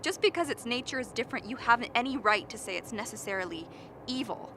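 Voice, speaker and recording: A woman's voice: a little high, animated, quick pace, sharp tone, slight background noise. A woman playing an earnest, impatient biologist in a radio drama, lecturing and argumentative. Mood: indignant. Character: earnest, impatient expedition biologist defending a frozen creature. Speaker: actor in a radio play.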